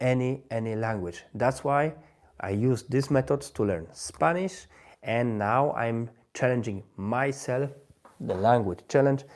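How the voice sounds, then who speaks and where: Polish-accented guy on a webcam livestream, halting, keeps restarting, mid-pitched voice. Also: darkish tone. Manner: halting, searching for words, many restarts. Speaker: language-learning livestream host. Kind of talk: live stream monologue.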